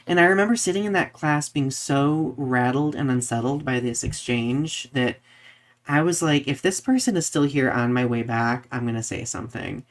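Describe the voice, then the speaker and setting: Young adult podcast co-host with a middling, androgynous pitch; chatty storyteller who voices people's reactions as they go. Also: normal speed. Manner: animated retelling with quoted reactions. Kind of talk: casual conversational storytelling.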